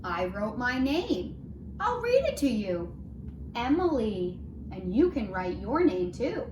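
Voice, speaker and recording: A woman with a fairly high, very lively voice gives a phonics lesson to young children. She has a sing-song teacher's delivery, sounding words out and putting questions straight to her listeners. The room echoes and there is noticeable noise behind her. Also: takes it slowly. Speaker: early reading teacher. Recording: roomy echo, clear background noise.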